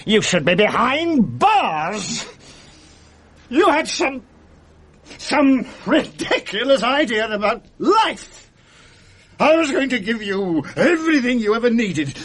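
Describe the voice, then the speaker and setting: An actor playing a bitter, sardonic middle-aged husband with a British accent in a radio drama, his voice husky. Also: sits high, fairly bright tone, exaggerated voice. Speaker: lead actor and narrator.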